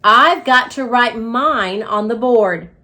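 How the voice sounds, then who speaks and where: A woman's voice: a little high, slow pace, pushed and bright. A woman voicing a cheeky schoolboy joker in a storybook, playful and declamatory.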